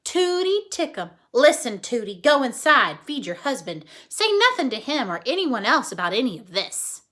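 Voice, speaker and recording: A woman's voice: high voice, very animated, normal speed, fairly bright tone, exaggerated voice. A woman voicing an earnest, commanding young girl in a children's storybook read-aloud. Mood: earnest, bossy. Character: Flora, a cynical, earnest, take-charge young girl.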